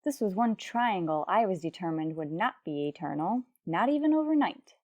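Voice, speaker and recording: An actress playing a wry, quick-witted high school teacher in an old-time radio comedy, arch and polite in manner. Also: female voice, animated, darkish tone, dry recording, muffled recording. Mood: wry narration. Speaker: lead actress.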